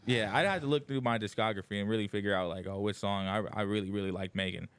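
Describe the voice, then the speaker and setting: A man's voice: on the low side, steady tone, fast, a bit bright, smooth. A young man chatting casually as a podcast guest, loose and filler-heavy, repeating "yeah yeah yeah". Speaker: guest rapper. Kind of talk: casual chat.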